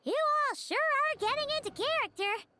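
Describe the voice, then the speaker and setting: A woman voicing a small, childlike, excitable fairy companion in an anime game, with a squeaky voice. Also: normal speed, background noise. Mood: curious, teasing. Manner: exclaiming, candid, third-person speech. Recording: music behind the voice.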